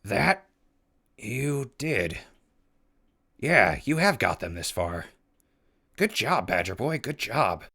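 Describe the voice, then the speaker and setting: An actor in an audio drama playing a gruff, exasperated and weary wasteland survivor with a raspy voice. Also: male voice, mid-range voice, sing-song, slow, pauses often, bright, forceful tone, exaggerated voice. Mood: baffled.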